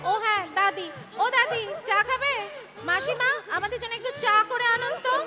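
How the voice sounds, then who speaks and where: A woman's voice: very high voice, normal speed, a loud crowd. A woman playing a brash young job-seeking maid who is cheeky, bossy and impatient in a dramatised play reading. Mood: brisk, cheeky.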